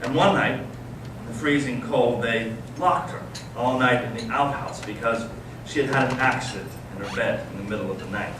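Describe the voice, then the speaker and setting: A man acts out a bitter, searching young intellectual in a readers theater drama. He speaks deliberately and earnestly, with dramatic pauses. The sound is very echoey, picked up from a distance with clear background noise. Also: medium pitch, natural voice. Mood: grave, indignant. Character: bitter, intense young intellectual Russian brother, searching and provocative. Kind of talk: staged readers theater reading. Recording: echoey room, distant mic, noisy amateur recording.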